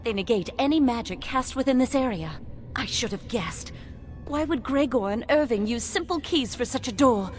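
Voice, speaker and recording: A woman playing an earnest, anxious young chantry woman in a fantasy video game, with a measured, solemn delivery. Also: on the high side, animated, soft background music, natural voice. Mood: distressed.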